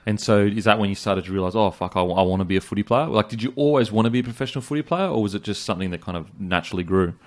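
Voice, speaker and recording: A man's voice: lowish voice, very fast, hardly any pauses. A young man hosting a casual interview, swearing freely and bantering. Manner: swearing, laid-back banter. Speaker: podcast host.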